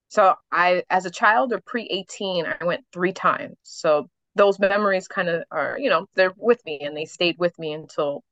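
A woman's voice: fairly low pitch, normal speed, few pauses, fairly bright tone, no room sound, dull audio. A New York-born woman whose first language is Haitian Creole, chatting and reflecting with frequent laughs as a guest on a podcast interview. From New York City. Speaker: author and guest.

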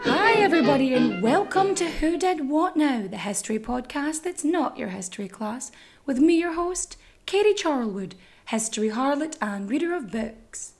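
Woman host, a bit croaky, swears freely, higher voice. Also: animated, moderate pace, soft background music. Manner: chatty, swearing, self-mocking storyteller. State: voice still croaky from strain. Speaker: podcast host. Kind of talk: casual comic monologue.

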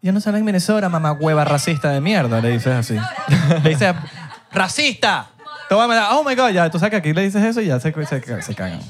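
A man's voice: fairly high pitch, sing-song, brisk. A young Venezuelan man with a Venezuelan accent, hosting a live podcast and trading jokes and slang while laughing between lines. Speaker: podcast host. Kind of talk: live podcast banter before an audience. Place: live show venue.

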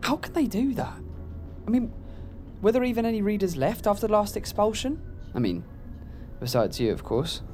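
A man who is a loyal, self-deprecating friend in an audio drama. His talk is conversational and halting, with a wry, protective streak. Music sits underneath the voice. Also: sits high, sing-song, darkish tone. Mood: curious, casual. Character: apologetic, joking, protective male friend of a troubled woman. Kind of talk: naturalistic audio drama dialogue.

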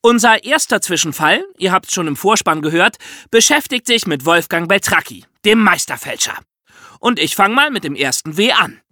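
A man co-hosting a podcast in a lively, conversational style, telling things in a chatty, colloquial way. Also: sits high, normal speed. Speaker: podcast co-host.

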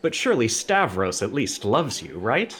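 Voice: mid-range voice, very animated, moderate pace, fairly bright tone. An actor playing a podcast interviewer who asks probing questions with feigned innocence.

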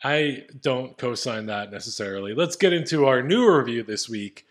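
A man's voice: mid-range voice, expressive, normal speed. Male podcast co-host, middle-register voice, casual comedic banter, joking and salty-tongued. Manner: profane, joking.

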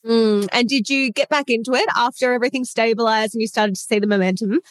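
A woman hosting a podcast interview, her voice a little croaky from illness, chatty and upbeat. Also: a little high, steady tone, quick pace, no echo. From Australia. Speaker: podcast host. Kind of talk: casual interview.

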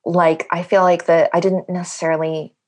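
Low-voiced hesitant New woman. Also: little pitch movement, normal speed, a little mellow. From New York. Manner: hesitant, many fillers, laughs often. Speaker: playwright. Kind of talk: podcast interview, thoughtful.

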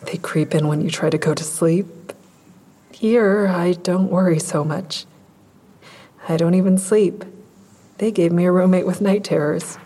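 An actress playing a guarded, resigned woman in psychiatric care, with a husky, dry, deadpan delivery in an audio drama. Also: lowish voice, a little slow, pauses often. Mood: weary.

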